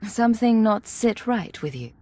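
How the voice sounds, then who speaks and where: A woman playing a guarded, composed intelligence agent in a video game, with a husky voice and a cool, controlled manner. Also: on the high side, big pitch swings, normal speed, a little mellow. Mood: probing. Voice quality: slightly husky. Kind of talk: video game cutscene acting.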